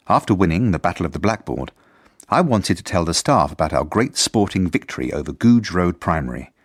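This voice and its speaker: Very deep-voiced, earnest head teacher. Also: male voice, full character voice. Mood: confiding. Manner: measured, formal, earnest. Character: earnest, persistent, mild-mannered male acting head teacher. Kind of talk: radio drama narration of letters and journal.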